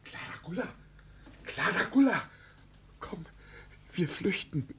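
An actor in a 1950s German radio play playing a mad old father who believes himself an emperor, pleading and solemn in a husky, creaky voice. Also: high-pitched, very animated, slow pace, fairly bright tone, full character voice. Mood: urgent warning. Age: older. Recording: vintage 1950 radio recording.

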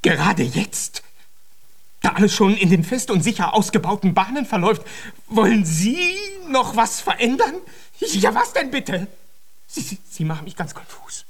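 An actor in a German radio drama playing a flustered, fretful conductor and concert organizer, speaking in a wordy, formal, theatrical way. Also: male voice, sits high, lively intonation, normal speed, creaky, slightly rough, full character voice. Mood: alarmed, agitated.

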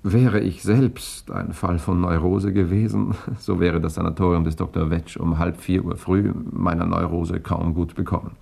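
An actor playing a cool, wry journalist in a 1960s German radio crime serial, with a gravelly, husky voice. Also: male voice, sits low, fairly even intonation, normal speed, hardly any pauses, a little mellow, exaggerated voice. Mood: wry narrating. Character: cool, wry male journalist telling his own crime story. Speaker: lead actor and narrator.

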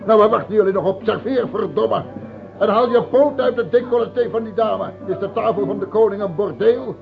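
Gravelly, peevish old king. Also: sits high, fairly quick, faint music behind. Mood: furious, imperious. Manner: rambling self-pity flaring into imperious ranting. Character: gaunt, grey old French king, peevish, morbid and tyrannical. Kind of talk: theatrical radio drama acting. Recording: dull, lightly noisy old radio recording.

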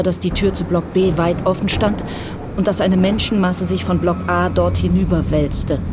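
A woman narrating a radio crime drama in a calm, measured way. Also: lowish voice, background noise.

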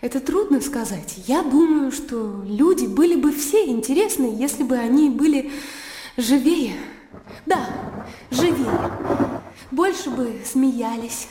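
Bold carefree young country lady, real high voice, animated n teasing, radio drama, long echo. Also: takes it slowly, a little hiss or hum. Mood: dreamy, eager. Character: spirited, blunt young country noblewoman, impatient, carefree.

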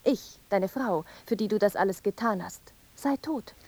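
A woman's voice: medium pitch, normal speed, darkish tone, natural voice. A woman playing a mournful, visionary young wife in a poetic radio play, with solemn, incantatory delivery. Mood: insistent.

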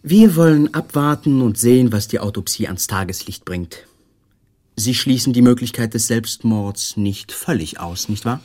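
Actor playing a husky, formal police detective. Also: male voice, mid-range voice, moderate pace, close to an everyday voice. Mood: probing. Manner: calm, formal questioning. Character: polite, probing police detective man. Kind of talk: 1980s radio drama.

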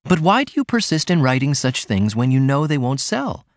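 A man's voice: sing-song, normal speed, no room sound, studio quality. A man reading aloud, voicing a prim young woman who pleads and reproaches in a raised, careful voice. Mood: reproachful. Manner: pleading, higher put-on voice.

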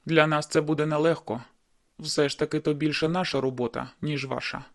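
Woman voicing a bold, low-pitched young male werewolf. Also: steady tone, fairly quick. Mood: businesslike. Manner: low, firm, persuasive tone.

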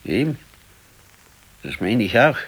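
A man's voice: mid-range voice, sing-song, slow pace, fairly bright tone, husky, full character voice. A man playing an ailing, stubborn, brooding old husband in a radio play, laconic and weary, with a southern German, Bavarian accent. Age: older. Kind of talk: naturalistic radio play acting.

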